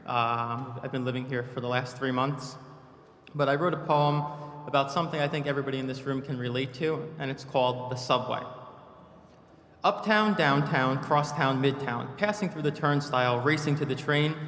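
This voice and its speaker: A man with a medium-pitched voice reads his own poetry to a live room. His delivery comes in short, clipped phrases between brief spoken introductions. Strong echo and a long reverb surround the voice. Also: moderate pace, fairly bright tone. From New Jersey, United States. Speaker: poet reading his own short poems. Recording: echoey hall with long reverb.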